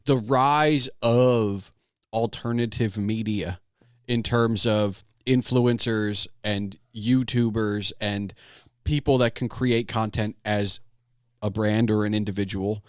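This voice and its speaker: A man hosting a casual podcast conversation, talking in a halting way and often repeating words as he thinks. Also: fairly low pitch, steady tone, no echo, studio quality. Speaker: podcast host.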